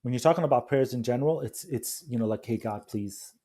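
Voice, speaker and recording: A man's voice: medium pitch, dark tone. A man speaking casually as an interview guest, feeling his way through sentences and repeating words. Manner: halting, repeats words and agrees readily. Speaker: interview guest, coffee company founder.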